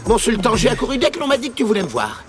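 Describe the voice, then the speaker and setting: A man's voice: very high-pitched, wildly swooping pitch, rapid-fire, music in the background, exaggerated voice. A man playing a cheeky, scheming folk hero in a children's cartoon, with a playful, theatrical, mock-innocent delivery. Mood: sly, hesitant. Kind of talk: French children's cartoon acting.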